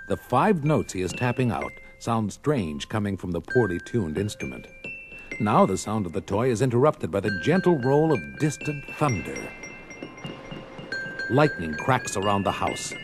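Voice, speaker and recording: An actor narrating a science fiction story record in a scripted, dramatic style. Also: male voice, fairly low pitch, very animated, normal speed, music in the background.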